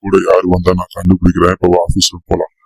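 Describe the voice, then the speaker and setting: A stern cartoon man played by an actor, very low and fast-talking. He sounds gruff, exasperated and scolding, recorded dry. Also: monotone, dry recording. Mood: suspicious. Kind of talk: cartoon comedy voice acting.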